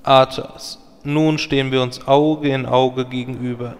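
A man's voice: mid-range voice, fairly even intonation, a little slow, a little hiss or hum, close to an everyday voice. A man playing a sardonic adventurer at the head of a hero party in a parody audio drama. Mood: solemn. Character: dry, sarcastic adventurer leading a hero party.

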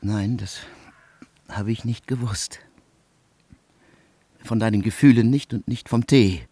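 An actor in a German radio play, playing a cool, probing, formal man with husky, deliberate, ornate and ironic diction. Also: lowish voice, warm tone, no room sound. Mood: dry irony. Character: cool, probing, formal man asking a friend to woo for him.

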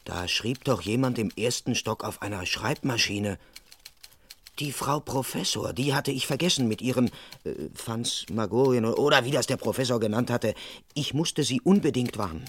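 An actor playing a dutiful, politely flustered police constable, formal and deliberate, narrating and speaking in a crime radio play. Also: male voice, mid-range voice, fairly bright tone, husky. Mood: deadpan narrating.

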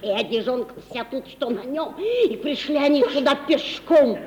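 An actress playing a fawning, gossipy, superstitious elderly housekeeper with folksy, obsequious speech in a Russian radio play. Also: female voice, high voice, lively intonation, normal speed, mellow. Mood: anxious, tattling. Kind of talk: 1950s Russian radio theatre acting. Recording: dull, noisy, echoey 1950s radio recording.

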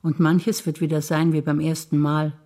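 An older woman, an actress reciting memories in a quiet, measured way on stage. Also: low-pitched, little pitch movement.

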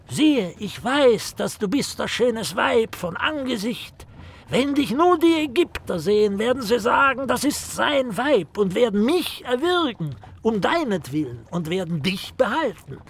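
High male voice, spiteful talking dog, swooping declamation, haughty, radio drama. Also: gravelly, husky, exaggerated voice. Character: hateful, sneering male dog reading a pamphlet aloud. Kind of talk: German radio drama acting.

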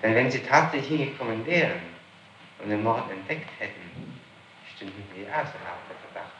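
A man's voice: fairly low pitch, expressive, moderate pace, fairly bright tone, highs cut off. Insistent male prosecutor on noisy echoey tape. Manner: insistent, official, citing witness statements. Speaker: public prosecutor questioning a suspect. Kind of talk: formal interrogation. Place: echoey room, taped interrogation.

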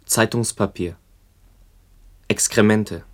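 A man's voice: lowish voice, slow pace. A man giving a sober, descriptive reading of literary memories for a radio documentary. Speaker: narrator.

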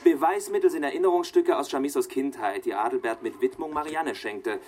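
A man's voice: medium pitch, a little fast, a little mellow, a little hiss or hum, close to an everyday voice. A man playing a precise, needling researcher in a radio play, insistent and pedantic as he presses his revelations. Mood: pedantic, triumphant.

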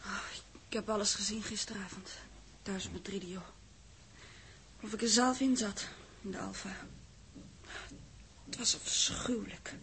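An actress playing a shaken, emotional young woman in a 1960s radio play, with halting, breathy, dramatic delivery. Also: mid-range voice, steady tone, a bit bright. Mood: shocked, subdued. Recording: noisy old 1960s radio recording.

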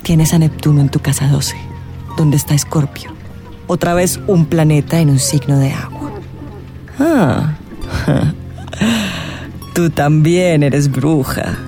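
A woman's voice: low voice, fairly even intonation. An actress playing an eccentric, gushing and flirtatious witchy astrologer in a radio drama, with dramatic, suspenseful phrasing. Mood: awed, dramatic. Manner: dramatic, suspenseful drawn-out delivery with whispers. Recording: music playing behind the voice.

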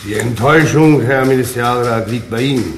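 An actor playing a resolute, earnest peasant father in a German radio drama, speaking deliberately and emphatically. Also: mid-range voice, natural voice. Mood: proud, insistent. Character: resolute, unbowed older German peasant father, refugee spokesman. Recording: noisy radio play with background ambience.

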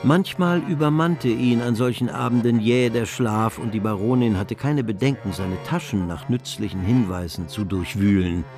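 A man's voice: fairly low pitch, moderate pace, few pauses, over music. A man narrating a literary radio drama as a scripted storyteller.

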